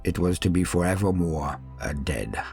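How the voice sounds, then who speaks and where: A man narrating scripted stories as host, in a theatrical, measured and formal manner. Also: deep voice, animated, a little mellow, soft background music. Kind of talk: dramatic scripted horror storytelling.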